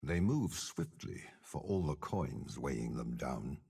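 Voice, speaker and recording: Casting: aging game warlord, extremely low husky voice, slow, calculating, commanding and contemptuous. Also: male voice, big pitch swings, dark tone, exaggerated voice. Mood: commanding, stern. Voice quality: gravelly, husky. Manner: commanding, measured, disdainful. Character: cold, cunning old male warlord general and schemer. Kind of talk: dramatic game character voice lines.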